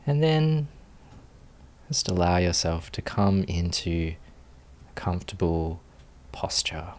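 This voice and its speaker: A man leading a guided meditation as a teacher, speaking calmly and soothingly with long pauses. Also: low voice.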